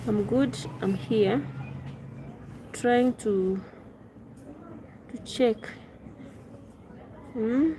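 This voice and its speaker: Female live streamer, Kenyan accent, slightly high voice, unhurried and musing, casual delivery over clear background noise. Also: dark tone. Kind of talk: casual chat, reading and answering viewers' questions.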